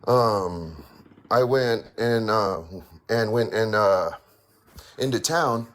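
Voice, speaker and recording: A man calling in to tell a paranormal story, halting and full of fillers, with a raspy voice. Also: fairly low pitch, fairly bright tone. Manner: halting, many fillers and restarts. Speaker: caller sharing a paranormal story. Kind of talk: hesitant casual storytelling.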